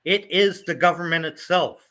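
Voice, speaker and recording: A man's voice: sits high, steady tone, moderate pace, sharp tone. A man from Ohio, a guest on an online panel talk, arguing bluntly and often repeating his points. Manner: blunt, repeats "pure and simple".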